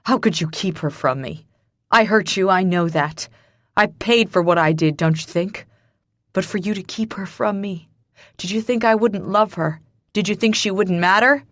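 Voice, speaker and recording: A woman narrator voicing a grown man who is furious and heartbroken, shouting and cursing. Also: medium pitch, normal speed, no echo, studio quality, close to an everyday voice. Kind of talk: audiobook character voice.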